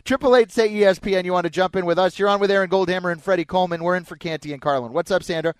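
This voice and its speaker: A man with a slightly high voice hosts a sports radio call-in show. He banters in a jokey way, and the studio sound is dry and close. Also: very fast, clear, resonant tone, dry recording.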